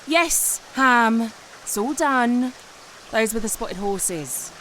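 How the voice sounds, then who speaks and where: A woman's voice: fairly high pitch, expressive, slow, a bit bright, slight background noise, poor audio. An actress playing a wry, self-important woman writer turned amateur detective in a comedy audio drama, with dry sardonic delivery. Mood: weary. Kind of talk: audio drama narration and dialogue.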